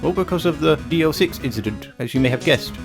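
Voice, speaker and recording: A man's voice: fairly high pitch, expressive, fast, vocal fry, over music. An actor playing a troubled, blustery old attorney in a video game, with an old-fashioned, grandfatherly way of talking. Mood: grave, resigned. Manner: blustering, old-fashioned, stammering when flustered. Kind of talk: dramatic video game character acting.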